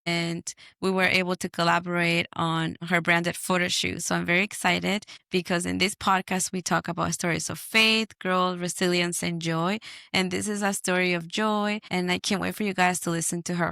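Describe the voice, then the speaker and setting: A woman's voice: on the low side, fairly even intonation, moderate pace, creaky, sharp tone, smooth, dry recording, clean studio sound. A woman hosting a conversational podcast interview, with an effusive, encouraging manner. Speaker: podcast host.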